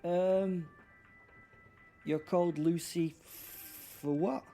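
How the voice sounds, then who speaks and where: A man puts on a dopey, flustered werewolf for an audio drama. The voice rises above his usual pitch, halting and stammering, naive and thoroughly bewildered, over faint music. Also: steady tone, dark tone, heavily acted. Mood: confused, awkward. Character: naive, flustered, slow-witted male werewolf in Hell.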